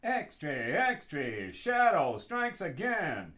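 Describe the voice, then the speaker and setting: An actor playing a street newsboy hawking papers with loud sing-song cries in a radio drama. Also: male voice, a little high, wildly swooping pitch, takes it slowly, full character voice.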